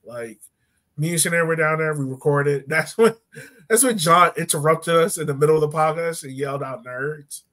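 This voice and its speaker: A man's voice: on the high side, normal speed, fairly bright tone. A young man co-hosting a soccer podcast in casual, slangy fan chat over a video call. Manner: slangy, informal. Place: indoor room at home, on a video call.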